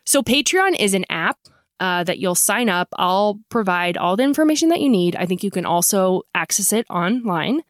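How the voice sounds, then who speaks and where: Woman podcast host, middle pitch, dead-dry close sound, plain-spoken and profane. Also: animated, moderate pace, dry recording. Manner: blunt and profane, self-mocking. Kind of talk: casual podcast talk.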